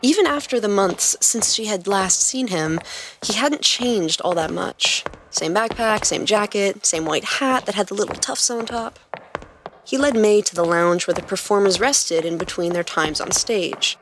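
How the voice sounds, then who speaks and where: A woman's voice: mid-range voice, expressive, a little slow, fairly bright tone, slight background noise. A woman reading scripted fiction aloud as the narrator of a story.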